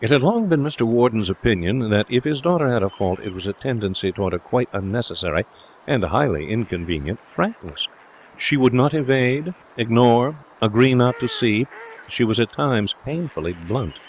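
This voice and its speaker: A man's voice: medium pitch, lively intonation, moderate pace, slight background noise, low-quality recording. A man reading literary prose as a scripted audiobook narrator. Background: ambient sound effects.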